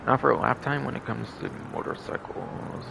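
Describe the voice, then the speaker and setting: A man with a gravelly voice giving rambling, repetitive game commentary as a livestreamer. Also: medium pitch, normal speed, noisy background. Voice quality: gravelly, creaky. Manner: repetitive, circling back over phrases.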